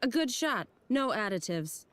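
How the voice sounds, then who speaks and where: A woman voicing a flirty female barmaid in a game who coaxes her customers with a playful sales pitch. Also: big pitch swings, a little slow, fairly bright tone, no echo. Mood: coaxing. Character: flirty female barmaid, weary but eager to please. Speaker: game character. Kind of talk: voiced game dialogue.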